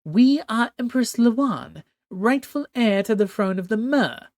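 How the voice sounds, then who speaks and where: A man's voice: expressive, measured pace, no room sound, studio quality. A man voicing an imperious, tentacled female sea empress who speaks of herself as "we" and screeches her commands. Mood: haughty. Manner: regal royal "we", shrill and commanding.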